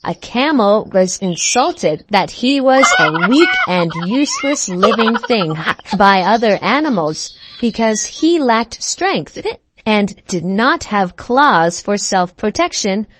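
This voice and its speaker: A woman reading scripted fables for children in a measured, expressive storybook manner. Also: mid-range voice, a little hiss or hum.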